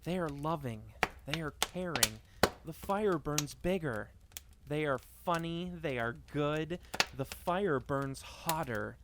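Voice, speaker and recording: A man performing a dramatic monologue reading in a deliberate, repeating cadence with weighted pauses. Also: fairly high pitch, animated, very noisy. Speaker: monologue reader.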